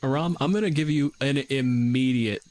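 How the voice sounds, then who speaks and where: A man's voice: medium pitch, moderate pace, a little mellow, no echo. A man working as game master, narrating a tabletop game casually with informal phrasing and some swearing.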